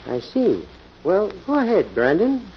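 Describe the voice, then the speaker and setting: Guarded company manager: slightly high voice, hesitant courtesy, muffled vintage radio drama. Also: male voice, huge pitch swings, a little slow, exaggerated voice. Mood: wary. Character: polite, guarded male steel mill general manager. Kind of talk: 1940s radio acting. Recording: muffled old radio recording, faint hiss.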